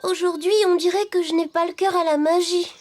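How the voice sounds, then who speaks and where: A tiny female magical creature from a cartoon, speaks in a very high, girlish voice. She is sweet, soft-hearted and easily flustered, with music behind her. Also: level tone, fairly quick. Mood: flustered, downhearted. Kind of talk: French cartoon dub acting.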